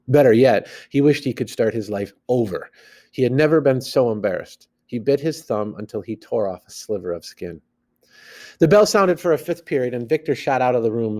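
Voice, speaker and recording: A man reading a story aloud like a teacher, expressively, acting out the dialogue and adding plain asides. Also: medium pitch. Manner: animated, acts out the dialogue.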